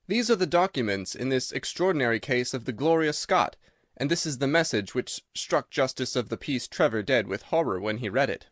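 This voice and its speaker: Male narrator as cerebral gentleman detective, self-possessed, articulate, precise, storybook reminiscence, mid pitch, dry recording. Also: animated, fairly quick, dry recording, lightly acted. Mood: assured, intrigued. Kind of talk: dramatised audiobook reading.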